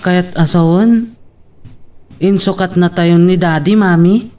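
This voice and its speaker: An aggrieved, devoted young son in an Ilocano drama, played by a man. His voice is on the higher side for a male. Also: steady tone, slow, dark tone. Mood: bitter, upset. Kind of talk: Ilocano family radio-style drama acting.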